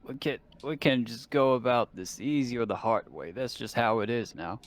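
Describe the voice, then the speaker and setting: A man's voice: medium pitch, normal speed. A man playing a tabletop roleplaying game, talking casually and arguing his case with plenty of swearing. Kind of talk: casual in-character table talk.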